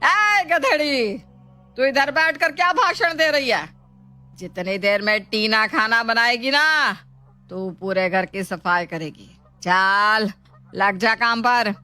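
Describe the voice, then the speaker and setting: A woman voicing the domineering, taunting ghost of an older mother-in-law in a storybook drama, scolding in drawn-out phrases. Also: on the high side, pushed and bright, faint music behind. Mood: bossy. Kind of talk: Hindi moral storybook drama.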